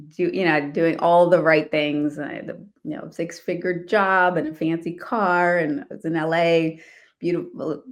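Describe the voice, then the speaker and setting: Low-voiced woman who laughs often. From Washington, D.C. area. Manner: laughs often while talking, many restarts. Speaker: guest, real estate investor. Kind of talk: casual interview, telling her life story.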